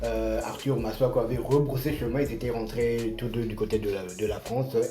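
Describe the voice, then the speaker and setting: A man's voice: mid-range voice, little pitch movement, fairly quick, dark tone, soft background music. A male journalist co-hosting a studio show, recounting details hesitantly with many fillers. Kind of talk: studio commentary. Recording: roomy studio echo.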